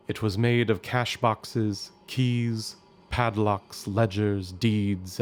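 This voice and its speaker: An actor as a measured, grave narrator of an audio drama, telling the story with suspense. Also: male voice, on the low side. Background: music.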